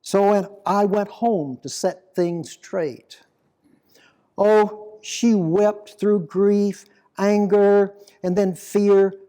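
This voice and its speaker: A man reading a dramatic monologue poem as an aging, plain-spoken fisherman apostle, deliberate, reflective and full of guilt and devotion. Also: high voice, steady tone, warm tone, clear, resonant tone. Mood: rueful, heavy. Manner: slow, deliberate, reflective storytelling.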